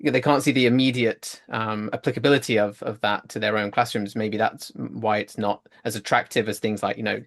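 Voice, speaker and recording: A man's voice: lowish voice, steady tone, brisk, few pauses. A man co-hosting an interview, talking casually with many fillers and light jokes. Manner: chatty, lots of ums, joking. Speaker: conference co-chair, interviewer.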